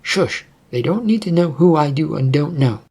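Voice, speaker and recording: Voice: mid-range voice, animated, moderate pace, mellow, slightly rough. A performer voicing a bold, sly, slightly haughty female spellcaster in a fantasy story. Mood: sly, teasing.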